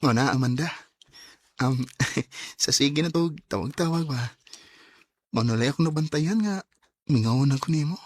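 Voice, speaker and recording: An actor playing a shy, smitten young man courting online in a radio drama, with a Cebuano Bisaya accent and a husky voice. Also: medium pitch, animated, normal speed, pauses often, dry recording, close to an everyday voice. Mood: tender, nervous.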